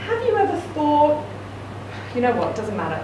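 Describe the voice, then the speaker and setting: A casual, sometimes hesitant Hong Kong woman meeting an old friend again, as a woman reader puts her on. Her voice sits fairly high and comes from a distance. The room is echoey and the recording noisy. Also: huge pitch swings, normal speed.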